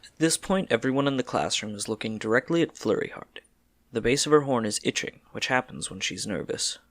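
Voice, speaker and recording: A man's voice: medium pitch, expressive, a little fast, dry recording. A man narrating a scripted story in a dramatic storybook style.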